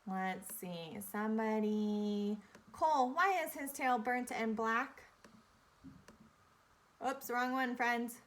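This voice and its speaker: A woman speaking as an elementary teacher, leading an interactive read-aloud for young children in a deliberate, instructive way, repeating key phrases and questions. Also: on the high side, fairly bright tone.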